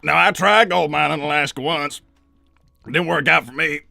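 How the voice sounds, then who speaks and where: A man voicing a folksy older cartoon hedgehog who sells hot dogs, talking in a halting, rambling, hesitant old-timer way. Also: on the high side, vocal fry, sharp tone, no echo, faint music behind, heavily acted. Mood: bumbling, confused. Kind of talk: improvised in-character livestream roleplay.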